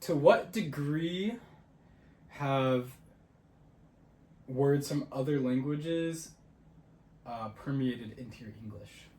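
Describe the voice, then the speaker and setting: A man's voice: medium pitch, lively intonation, slow, pauses often, a little mellow. A young man co-hosting a podcast, chatting casually and quizzing his friend. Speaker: podcast co-host. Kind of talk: casual chat. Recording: roomy echo, faint ambient noise.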